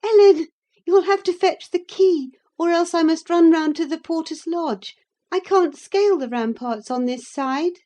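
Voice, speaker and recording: A woman's voice: high-pitched, normal speed, dark tone, voice pushed up, natural voice. A woman voicing a spirited, impulsive teenage young lady in an audiobook, emphatic and exclaiming. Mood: laughing. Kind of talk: audiobook character voice.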